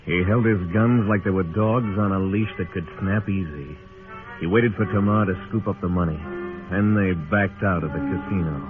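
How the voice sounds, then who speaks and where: A male actor plays a hard-boiled American lawman in a radio western. His voice is low and his words are spare and steady. The old recording is tinny and narrow, with music underneath. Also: normal speed, close to an everyday voice. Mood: grave, reflective. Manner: laconic, hard-boiled delivery. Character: stern, steady frontier marshal telling his own story. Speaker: narrating lead actor. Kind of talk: old-time radio western acting with first-person narration.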